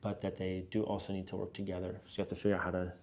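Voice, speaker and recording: A middle-aged man talking casually and reflectively in an interview as a leader, explaining things step by step in a halting, thoughtful way. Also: low-pitched, completely flat delivery, darkish tone, slight background noise. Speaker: business and church leader being interviewed.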